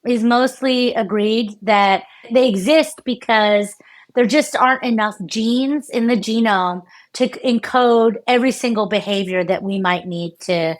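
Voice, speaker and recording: An American woman neuroscientist explaining her research in a conversational interview, animated and full of fillers and restarts. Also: on the high side, measured pace. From United States. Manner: animated, many fillers and restarts, laughs often. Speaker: neuroscientist guest.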